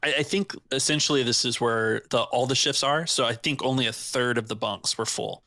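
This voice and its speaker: A man's voice: mid-range voice, fairly quick, bright, forceful tone, no room sound, studio quality. A man narrating an improvised tabletop game as game master, explaining things in a matter-of-fact way.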